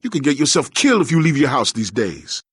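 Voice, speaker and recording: An actor playing a secretive, cautious townsman in a fantasy game, husky, confiding in urgent colloquial speech. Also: medium pitch, very animated, fast talker, no echo, studio quality. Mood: furtive, nervous. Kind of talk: fantasy game character acting.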